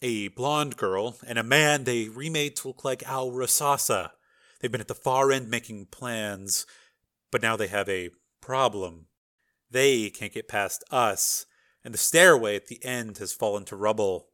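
A man's voice: mid-range voice, unhurried, bright, forceful tone. A man voicing a grim government agent in an audiobook thriller, talking in a clipped, matter-of-fact way. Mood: grim, terse. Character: grim, terse male government agent in gray.

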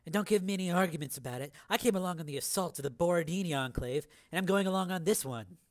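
A man voicing a tough, sharp-tongued young woman who refuses to be left behind. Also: high-pitched, fast, pitched above the performer's own voice, close to an everyday voice. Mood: defiant. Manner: defiant, clipped delivery.

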